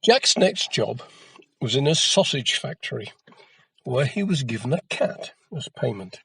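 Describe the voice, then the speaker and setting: Male folktale narrator for children: measured, expressive, husky, recorded close and dry. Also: mid-range voice, no room sound, poor audio. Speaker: storyteller.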